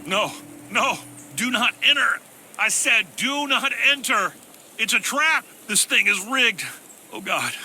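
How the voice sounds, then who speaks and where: A man's voice: high voice, lively intonation, slow pace, sharp tone, a little hiss or hum, full character voice. A man voicing a panicked character frantically giving warnings in a video game.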